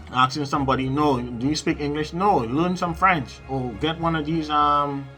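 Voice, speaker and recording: A middle-aged man talks straight into the camera from a small room at home, with a bright, forceful tone. His delivery is emphatic and preachy, full of repetitions and direct appeals to the viewer. Faint music sits quietly under his voice. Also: mid-range voice. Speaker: psychic advisor and channel host. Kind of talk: casual advice monologue to camera.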